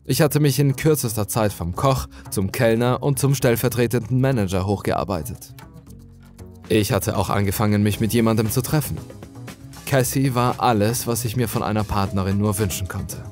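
Guy narrating a scary story, dramatic scripted read, kinda low voice, soft music under it. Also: normal speed.

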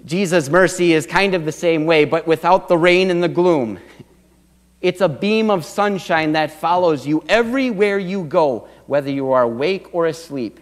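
A middle-aged man preaches from the pulpit of a church sanctuary, building to earnest swells of emphasis. His voice sits a little on the high side for a man. The sound is picked up from a distance, and long reverb from the sanctuary trails after his phrases. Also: moderate pace. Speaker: pastor. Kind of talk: sermon.

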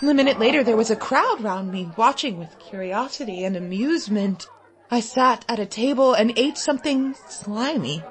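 A woman reading a literary story in the first person, expressive, vivid and deliberate. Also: on the high side, low-quality recording. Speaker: story reader.

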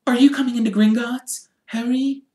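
A man voicing an eager, brainy schoolgirl who chatters quickly and brightly, read in an echoey room. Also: extremely high pitch, steady tone, voice pushed up.

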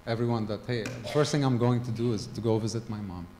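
A middle-aged man from Gaza telling a personal story to a conference audience into a handheld mic, halting with frequent ums and restarts. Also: fairly low pitch, fairly even intonation, dark tone, a little hiss or hum. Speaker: conference speaker. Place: large hall with a stage. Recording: long hall reverb, faint ambience.

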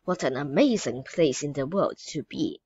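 A woman's voice: deep voice, expressive, moderate pace, darkish tone, husky, dry recording, highs cut off, heavily acted. A woman reading aloud, voicing a curious young girl full of wonder.

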